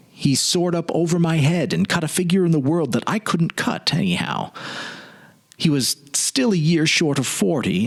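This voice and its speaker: A man giving a dramatic reading of a literary story, with deliberate phrasing and expressive pauses. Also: a little high. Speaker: presenter and narrator.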